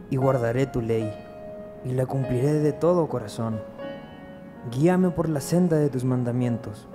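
A man's voice: medium pitch, close to an everyday voice. A man playing a devout believer earnestly praying a psalm in a radio drama. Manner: earnest, prayerful.

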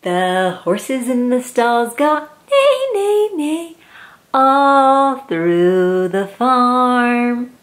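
A woman with a high voice hosts a read-aloud for small children. She takes her time, stretching phrases in a sing-song, encouraging manner, and her pitch swings widely as she goes. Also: sing-song, drawn-out words. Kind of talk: animated picture-book read-aloud for young children. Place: small plain room indoors.

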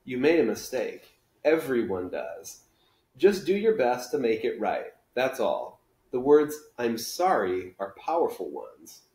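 A man reading as a gentle, soothing mother, roomy sound. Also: medium pitch, big pitch swings, measured pace. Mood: reassuring. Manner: soothing, reassuring. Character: gentle, caring mother of a schoolgirl. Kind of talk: children's book read-aloud. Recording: roomy living-room echo.

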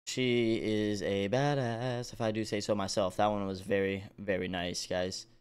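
A man's voice: lowish voice, little pitch movement, measured pace, clean tone. A man hosting a reaction video, commenting casually and enthusiastically in rambling, slangy speech. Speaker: reaction video host and streamer. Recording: game music playing behind the voice. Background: video game music.

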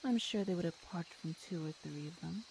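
A woman playing a hungry, teasing feline monster girl in an ASMR audio roleplay, speaking with slow menace, hums and sighs. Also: fairly low pitch, gravelly, darkish tone, a little hiss or hum, rough recording. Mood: menacing.

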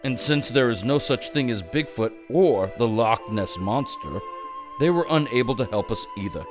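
A man reading scripted mock-storybook narration with deadpan comic delivery. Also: medium pitch, moderate pace, over music.

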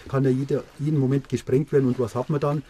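A man's voice: mid-range voice, level tone, fast talker, warm tone. A man who leads a research team, speaking on location for a documentary. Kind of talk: documentary interview and reading aloud. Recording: dull sound with ambient noise.

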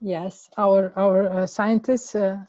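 A woman from Iceland with an Icelandic accent, speaking in a casual discussion over a video call, hesitant, repeating words and laughing softly. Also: medium pitch, little pitch movement, slow, no room sound, dull audio. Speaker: class participant.

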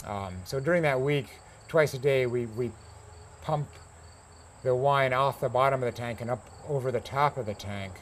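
A middle-aged American man, a farmer and winemaker, explains his work casually and haltingly in an interview. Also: mid-range voice. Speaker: grape grower and winemaker being interviewed. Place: outdoor covered porch beside a pond. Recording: faint outdoor insect noise.

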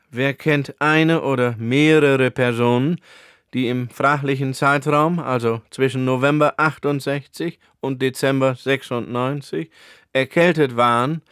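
A man acts a police investigator in a mock radio appeal. His medium-pitched voice is smooth and clear, the delivery stiff, earnest and full of officialese. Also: a bit bright, no echo, clean studio sound, lightly acted. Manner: stiff, formal, methodical officialese.

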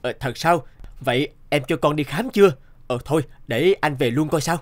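A man's voice: a little high, normal speed, lightly acted. A man playing a philandering husband and father in a cartoon drama. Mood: concerned. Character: philandering husband and father, adult, cold to wife.

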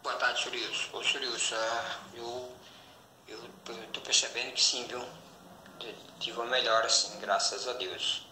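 A man's voice: mid-range voice, flat delivery, moderate pace, pushed and bright, slight background noise. A Brazilian man leaving a hesitant, polite testimonial as a voice message. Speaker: customer giving a testimonial. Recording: echoey voice note replayed from phone.

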